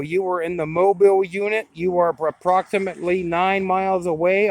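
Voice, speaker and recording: A man's voice: fairly high pitch, no room sound. A man reviewing and testing a radio in a plain-spoken, explanatory way, as a hands-on demonstration.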